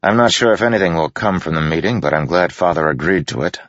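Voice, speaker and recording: Dude doing smug young noble guy, quick and teasing, bit higher than his usual, dry audio. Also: lively intonation, a bit bright, dry recording, dull audio. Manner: brisk, smirking.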